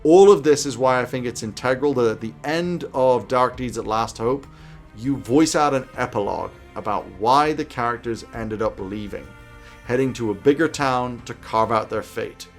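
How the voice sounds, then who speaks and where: A man in his thirties hosts a scripted advice video from a small home office. His pitch sits in the middle range for a man, and light music plays behind him. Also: moderate pace. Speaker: YouTube host giving game master tips.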